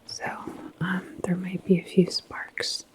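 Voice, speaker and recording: Slow, whispering woman in ASMR roleplay. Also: on the low side, monotone. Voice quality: breathy whisper. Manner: gentle, reassuring, unhurried. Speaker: ASMR roleplay performer as transit station attendant. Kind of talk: ASMR roleplay, personal attention.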